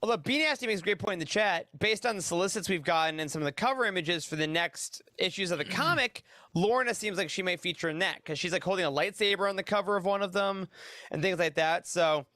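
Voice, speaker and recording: A man's voice: sits high, fast, bright, forceful tone, dry recording. A man hosting a casual roundtable discussion on a podcast. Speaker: podcast host.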